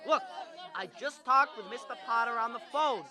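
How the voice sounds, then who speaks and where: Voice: mid-range voice, normal speed, crowd noise, lightly acted. A teenage performer playing an earnest, defiant young man in an amateur radio drama, urgent and stammering under pressure. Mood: pleading. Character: earnest, defiant young man running a small loan firm.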